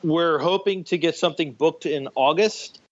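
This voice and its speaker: A man's voice: fairly high pitch, moderate pace. Man in a dull lo-fi interview recording. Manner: explanatory, anecdotal. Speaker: podcast guest, radio theatre director. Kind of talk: conversational interview answers.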